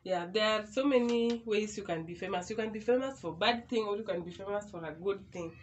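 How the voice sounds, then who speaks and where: A woman's voice: medium pitch, a little fast, a little hiss or hum. A young woman from Kenya with a Kenyan accent, chatting casually to the camera in a Q&A vlog from a small room. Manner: chatty, animated hand gestures. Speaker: YouTuber. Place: small indoor room, in front of a door.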